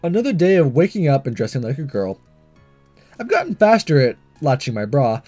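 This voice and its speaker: A man reads as a confident teenage boy in a visual novel. The delivery is casual and breezy, with a mellow tone and lively pitch. Soft music runs underneath. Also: fairly quick, breathy edge, heavily acted. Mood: matter-of-fact. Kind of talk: first-person visual novel narration and dialogue.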